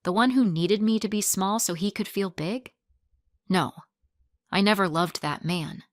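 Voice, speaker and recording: A woman narrating a first-person story in a calm, even storytelling manner. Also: mid-range voice, measured pace, no echo, clean studio sound.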